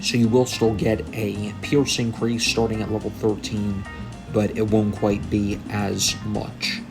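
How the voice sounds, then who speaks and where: A man's voice: fairly low pitch, little pitch movement, measured pace, creaky. A young man giving gameplay commentary on patch notes, sounding tired and a little under the weather, with frequent ums. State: tired, coming down with a cold. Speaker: gaming YouTuber. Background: game music.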